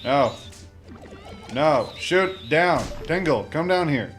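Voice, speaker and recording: A man's voice: on the high side, lively intonation, takes it slowly, fairly bright tone. Young guy doing a let's play, casual rambly chatter, game music going behind him. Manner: rambling, informal, mock-exasperated asides. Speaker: gamer, Let's Play host. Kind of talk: casual gameplay commentary. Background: video game music.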